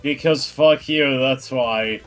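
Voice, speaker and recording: A man's voice: medium pitch, slow pace, fairly bright tone, soft background music. A young man on a casual stream, drawling and giggling as he chats with his friends. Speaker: podcast co-host. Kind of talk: casual gaming stream chat.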